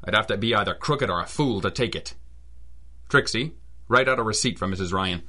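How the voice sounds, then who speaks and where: A man's voice: lowish voice, brisk, sharp tone. A man playing a tough, wry, wisecracking private eye in an old-time radio detective drama. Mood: firm, wry. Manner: tough, wry, gruffly reassuring. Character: hard-boiled, wisecracking male private eye.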